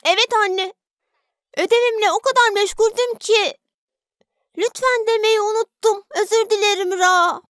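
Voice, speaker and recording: A performer voicing a bossy, impatient young schoolboy, an older brother, in an animated children's cartoon. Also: very high voice, normal speed, no room sound, clean studio sound. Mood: sheepish, affectionate. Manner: exasperated, commanding, sighing.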